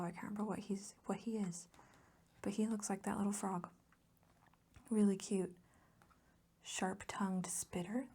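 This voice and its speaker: A soft-spoken woman with a dark, relaxed tone and plenty of creaky fry. She drifts between a low murmur and a husky whisper in an intimate ASMR style, chatting in a gushing, rambling, affectionate way. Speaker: host of a hobby ASMR channel. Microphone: close mic, recorded as voice-over.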